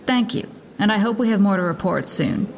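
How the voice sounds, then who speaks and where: An actress with an American accent playing a stern, impatient police lieutenant, speaking formally and with authority as in an audio drama press briefing. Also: female voice, medium pitch, brisk, noisy background, close to an everyday voice. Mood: firm, official.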